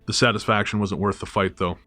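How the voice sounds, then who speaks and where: A man narrating a scripted first-person horror story in a measured, literary storytelling manner. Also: lowish voice, fairly even intonation, pushed and bright, no echo, soft background music.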